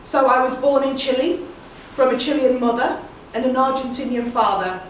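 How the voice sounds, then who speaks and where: A woman delivers a measured, earnest spoken-word monologue in a fairly high voice. She sounds distant, in a very echoey room. A faint ambient hum sits underneath. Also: fairly even intonation. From England.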